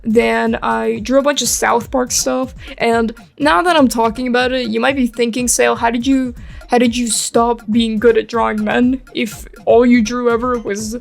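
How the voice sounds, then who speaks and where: High-voiced young American woman, rambling and creaky. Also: little pitch movement, moderate pace. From England and Eastern Europe, later New York. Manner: rambling, self-deprecating, giggly. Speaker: art YouTuber. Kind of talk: casual chatty speedpaint commentary. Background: background music.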